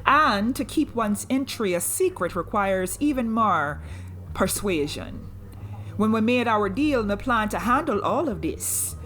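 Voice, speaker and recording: A commanding woman smuggler speaks in an audio drama. Her pitch moves widely as she lays out hard terms. She is wry, shrewd and deliberate, and bends her grammar. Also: lively intonation, fairly bright tone, a little hiss or hum. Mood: businesslike.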